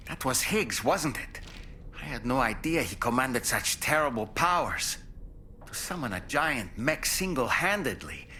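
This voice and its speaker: A polite, bookish game sidekick with a bright, animated voice that moves freely up and down. He muses in fussy, formal phrases, with faint noise behind him. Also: mid-range voice. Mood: musing, supportive. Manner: musing, formal, articulate, fussy. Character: chatty, polite, bookish male travel companion. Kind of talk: video game companion dialogue.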